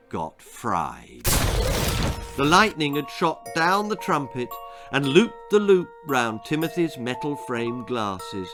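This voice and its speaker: British bloke reading a spooky funny kids story, slow and dramatic, music behind him. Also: male voice, medium pitch. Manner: theatrical, drily comic. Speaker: author and storyteller. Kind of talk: dramatic children's storybook narration.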